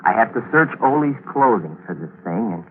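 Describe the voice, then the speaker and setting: A man's voice: on the low side, big pitch swings, fairly quick, narrow, tinny audio. A man playing a cold, scheming murderer who narrates his own crime in a 1940s radio mystery, giving clipped orders and confiding asides. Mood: cold, commanding. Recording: noisy, thin 1940s radio broadcast recording.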